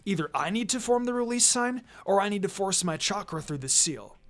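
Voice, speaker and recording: A man's voice: sits high, animated, brisk, fairly bright tone. A man voicing an earnest, awkward teenage ninja boy in a storybook reading, plain-spoken and a little sheepish. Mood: strained explaining. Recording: music behind the voice.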